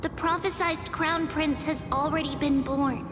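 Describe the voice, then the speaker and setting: Very high, childlike demigod girl. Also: fairly even intonation, unhurried. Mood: earnest, determined. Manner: earnest, youthful, emotive. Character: small, childlike demigod girl, earnest and tender-hearted. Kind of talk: dramatic game voice acting. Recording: echoey reverberant hall, music behind.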